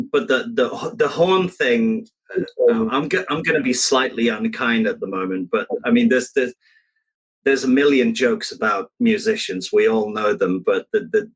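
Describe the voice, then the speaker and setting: A man's voice: medium pitch, rough recording. Man from Belgium chatting about his craft. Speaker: recording engineer, interview participant. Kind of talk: casual conversation.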